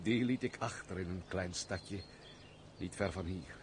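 A man's voice: low voice, measured pace, background noise. A man acting an embittered, brooding nobleman in a Dutch radio play, with formal, declamatory old-fashioned diction. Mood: anguished. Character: brooding, embittered nobleman, weary of society, curt master.

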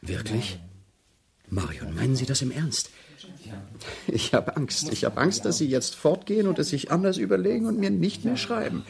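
An actor playing a cultivated, middle-aged male prison inmate and seducer in a radio drama, courteous and flattering with hesitant pauses. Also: a little high, creaky. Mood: pleading. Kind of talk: German radio drama acting.

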